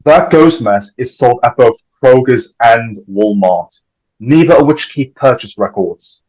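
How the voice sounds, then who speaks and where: A man's voice: medium pitch, animated, measured pace, a little mellow, husky, poor audio. Man playing an earnest, awkward young deputy. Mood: businesslike. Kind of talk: amateur table read of a film script.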